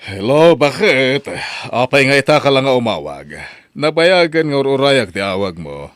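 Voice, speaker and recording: A man's voice: medium pitch, big pitch swings, normal speed, a bit bright, soft background music. A man acting a stern, exasperated father in an Ilocano radio drama, scolding in an Ilocano accent. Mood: anxious, questioning. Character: stern, exasperated well-off father of college-age daughter.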